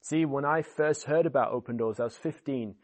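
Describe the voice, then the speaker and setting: A man's voice: mid-range voice, a little fast, darkish tone. Swiss-accented guy in his 20s preaching online, eager and sincere. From Switzerland. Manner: earnest, enthusiastic. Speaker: guest speaker. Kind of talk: online church sermon.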